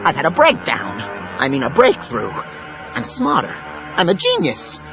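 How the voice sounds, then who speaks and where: A manic mad scientist in an audio drama. The voice is highish and leaps all over in hammy, deranged style. It sits in a muffled cassette recording with music. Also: male voice, huge pitch swings, unhurried, heavily acted. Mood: manic glee. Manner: zany, hammy, wildly changing delivery. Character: manic, gleeful, deranged male mad inventor.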